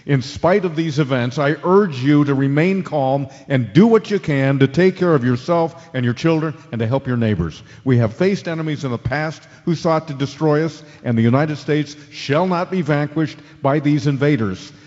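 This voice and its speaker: A man acting as a steady radio news anchor during an alien invasion in a radio drama. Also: a little high, normal speed, few pauses, natural voice. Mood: grave, urgent. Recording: dull lo-fi audio with long reverb.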